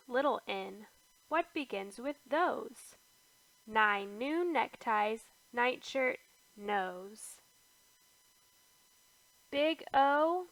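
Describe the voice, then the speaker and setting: A woman reading an alphabet book aloud to small children in a sing-song way, lifting her voice on each big letter and trailing off softly on the repeats. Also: high-pitched, no echo, poor audio. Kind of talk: slow read-aloud for toddlers.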